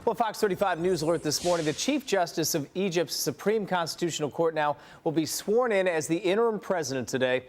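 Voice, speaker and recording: American male news anchor, higher-pitched voice. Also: lively intonation, fairly quick, few pauses, slight background noise. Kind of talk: scripted TV morning news reading.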